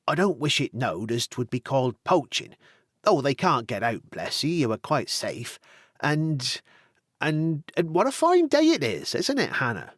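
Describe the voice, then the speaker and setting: A man's voice: fairly high pitch, a bit bright, dry recording, clean studio sound, voice pushed up, exaggerated voice. A man voicing a flustered young farm lad who talks in a rustic country dialect. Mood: nervous.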